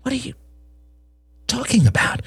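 A man voicing a nervous but defiant younger man in an audiobook drama, stammering and halting as he protests. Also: on the high side, big pitch swings, no echo, voice pushed up, exaggerated voice. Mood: anxious, indignant.

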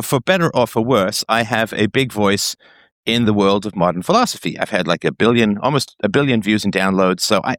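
A man hosting a casual call-in show, talking in an emphatic, opinionated way, his voice jumping higher when he gets worked up. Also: no echo, studio quality. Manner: emphatic, opinionated, pitch swings up when excited. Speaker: podcast host. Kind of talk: casual philosophical call-in chat.

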